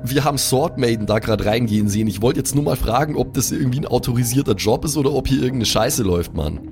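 A man's voice: mid-range voice, animated, fast, over music. A man playing a tabletop role-playing game, improvising casually in slang with English phrases mixed in.

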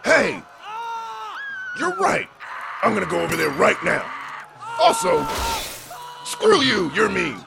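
Creaky, high-pitched panicky cursed businessman. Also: wildly swooping pitch, slow pace, long pauses, shouting, loud music behind the voice, exaggerated voice. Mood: panicked, indignant. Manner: flustered, whiny, earnest. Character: panicky, naive, whining businessman turned cursed monster. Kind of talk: comedy horror radio play acting.

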